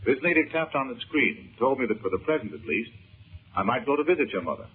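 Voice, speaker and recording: An actor playing a grave, protective uncle in a 1930s radio serial, speaking in a measured, earnest way. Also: male voice, mid-range voice, sing-song, breathy edge. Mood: troubled, subdued. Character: troubled, grave uncle who works magic, protective and subdued. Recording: muffled, tinny 1930s radio recording.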